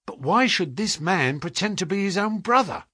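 Man playing a distraught young clerk, high-pitched. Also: expressive, moderate pace, sharp tone, slightly rough, dry recording, clean studio sound, exaggerated voice. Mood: dismayed. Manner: groaning, crying out, urgent. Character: distraught, excitable young male clerk, duped and pleading.

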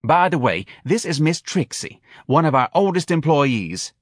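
An audiobook office manager, as a male narrator puts him on, lifted well above a natural speaking pitch. He is effusive and anxiously apologetic, eager to please, and recorded very dry. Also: sing-song, measured pace, no echo. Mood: eager welcome. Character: dapper, eager, flustered middle-aged male office manager.

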